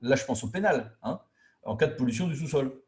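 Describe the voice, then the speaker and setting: A man speaks as a trainer in an informal lecture. His voice sits at a medium pitch. He explains things didactically in colloquial language and leans on key words for emphasis. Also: animated, fairly quick, darkish tone. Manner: colloquial and didactic, acting out imagined quotes. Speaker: trainer lecturing local officials on intermunicipal law.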